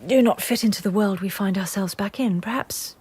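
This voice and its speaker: An actress playing a guarded, weary wife in a period radio drama, speaking with careful restraint. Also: female voice, mid-range voice, fairly quick, darkish tone, breathy edge. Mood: guarded, subdued.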